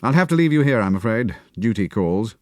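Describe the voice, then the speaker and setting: A man's voice: fairly low pitch, animated, fairly quick, a little mellow, no room sound, studio quality, close to an everyday voice. A man voicing a hearty British army captain who talks in a clipped, commanding way. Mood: bluff.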